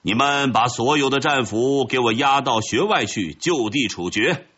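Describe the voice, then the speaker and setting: A man plays a haughty red ant general from a storybook, boastful and commanding. The voice is husky and swings widely in pitch as it declaims military orders, on a slightly dull recording. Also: animated, moderate pace, a bit bright. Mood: harsh, ordering.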